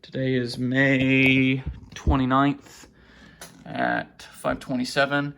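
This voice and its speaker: A man with a creaky voice giving a casual, halting off-the-cuff show-and-tell as a hobbyist, half talking to himself. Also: medium pitch, little pitch movement, a bit bright. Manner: halting, muttering to himself while handling gear. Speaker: hobbyist collector presenting weather radios. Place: indoors, small cluttered room at home. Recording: faint background noise, clicks and handling sounds.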